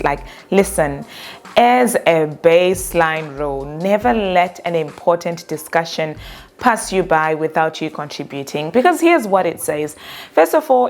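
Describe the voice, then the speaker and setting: A woman career coach who gives direct, earnest advice about workplace communication and calls her own voice monotone. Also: on the low side. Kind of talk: motivational talk to camera.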